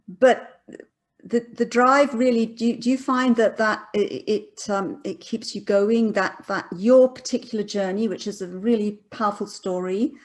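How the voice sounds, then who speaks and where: A woman hosting an interview, encouraging and affirming her guest. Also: medium pitch. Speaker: interviewer host. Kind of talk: casual interview conversation.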